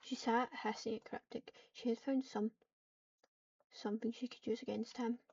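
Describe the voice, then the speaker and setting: A woman narrating an online roleplay game in halting, storybook-style phrases, switching between description and character dialogue. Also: on the high side, level tone, darkish tone, breathy edge. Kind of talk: live roleplay narration mixed with acted dialogue. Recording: muffled lo-fi mic, distant.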